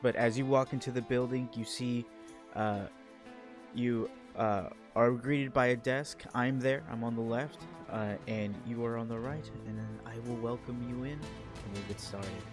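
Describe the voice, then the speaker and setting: A man's voice: medium pitch, a little slow, faint music behind. A man hosting a casual interview, chatting loosely with many fillers and restarts. He also narrates a playful intro scene. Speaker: talk show host. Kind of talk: casual online interview with a role-played intro.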